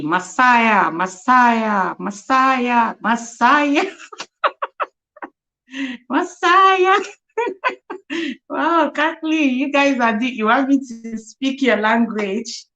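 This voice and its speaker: A woman's voice: mid-range voice, pushed and bright. A young woman from Nigeria with a Nigerian accent and Igbo as her first language, hosting a casual livestream chat, laughing often and repeating words as she sounds them out. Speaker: livestream host.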